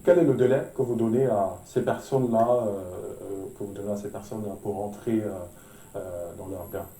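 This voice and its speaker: A man interviewing a guest on television, asking measured, probing questions. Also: on the low side, dark tone, reverberant, recorded from a distance, a little hiss or hum.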